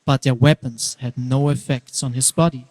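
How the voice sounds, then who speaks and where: A man narrating a dramatic scripture reading, telling the story with deliberate emphasis. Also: medium pitch, flat delivery, a little mellow. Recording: reverberant hall.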